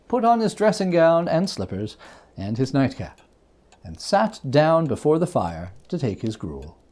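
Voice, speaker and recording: A man reading a classic ghost story aloud in a theatrical, expressive manner with emphatic phrasing. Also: medium pitch. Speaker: reader.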